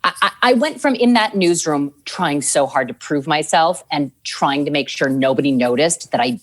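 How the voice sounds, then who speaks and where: Woman, forties, from Minnesota; news-anchor guest; lowish voice with big pitch swings; animated storyteller. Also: moderate pace. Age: 40s. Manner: animated storyteller, acts out quotes with lively pitch jumps; laughs easily while talking. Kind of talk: conversational interview.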